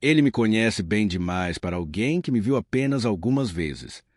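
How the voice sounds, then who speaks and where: A man's voice: on the low side, expressive, moderate pace, dry recording, studio quality. A man reading the lines of a humble, determined woman in her late thirties, earnest and modest.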